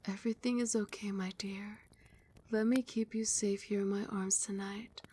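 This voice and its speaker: Soft whispery vampire queen all doting and possessive, a woman doing it asmr style right up on the mic. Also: mid-range voice, steady tone, moderate pace, slight background noise, lightly acted. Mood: tender. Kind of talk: ASMR roleplay. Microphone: close mic.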